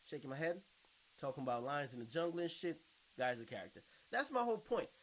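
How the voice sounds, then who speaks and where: Mocking male commentator, animated and high-pitched. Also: fast talker, low-quality recording. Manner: mocking, blunt, slangy. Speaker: video commentator reading viewer comments. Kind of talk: casual reaction commentary.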